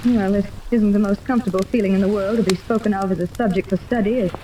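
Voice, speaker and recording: A woman's voice: mid-range voice, steady tone, fast, warm tone, lightly acted. An actress in a 1930s radio serial playing an anxious, genteel mother, polite and earnest in manner. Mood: polite, uneasy. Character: anxious, genteel wealthy mother seeking her lost daughter. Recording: distant, faintly noisy old radio recording.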